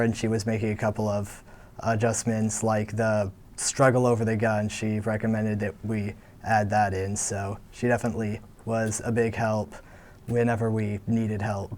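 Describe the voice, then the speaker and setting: A teenage male student actor answering interview questions in a measured, explanatory way. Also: fairly low pitch, a little mellow.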